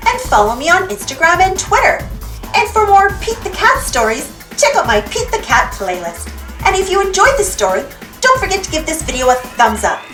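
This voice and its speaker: High-pitched, animated woman reading to children. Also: normal speed. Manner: expressive, sing-song questions and answers for young children. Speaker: storybook reader and channel host. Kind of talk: children's read-aloud narration. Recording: roomy echo with faint music. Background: faint music.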